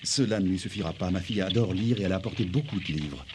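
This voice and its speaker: Actor as a deep, mellow gentleman father. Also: a little hiss or hum, natural voice. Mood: courteous, fond. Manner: polite, formal, measured. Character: courteous, doting well-to-do gentleman father. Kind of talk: French cartoon dub acting.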